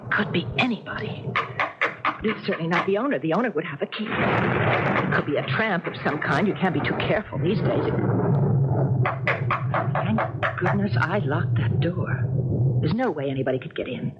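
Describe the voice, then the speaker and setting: A woman's voice: lowish voice, animated, normal speed, pauses often, heavy background noise, close to an everyday voice. An American actress playing a wary, proper, nervous woman in a 1970s radio drama, with a fretful, hesitant and primly polite manner. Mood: anxious. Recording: dull vintage radio recording with music.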